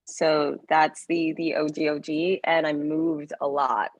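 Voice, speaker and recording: A young woman with a low, husky voice, talking freely as an interview guest. Her sentences wander and restart, and she laughs easily. The sound is close and dry. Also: a little slow, no echo, rough recording. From Kenyan-American, raised in the US, Canada and South Africa. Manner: animated, laughs often, talks in loose run-on phrases. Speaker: guest, actor and writer. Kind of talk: casual interview chat.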